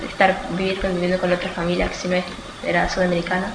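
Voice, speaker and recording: A young woman with a fairly low, very even voice, speaking as an interviewee. She feels her way through answers, often stopping to rephrase. The room echoes and there is clear noise behind her. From Australia. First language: Spanish. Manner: halting, searching for words, self-correcting. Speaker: student being interviewed.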